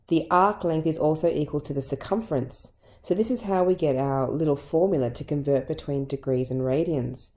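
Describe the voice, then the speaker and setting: A woman teacher with an Australian accent and a croaky voice, patiently explaining a maths lesson step by step. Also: deep voice, mellow. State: croaky voice from illness.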